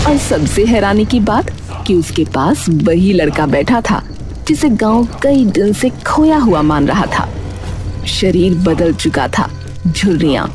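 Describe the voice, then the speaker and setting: A woman reading a scripted moral story as its narrator. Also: fairly low pitch. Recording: background music under the voice.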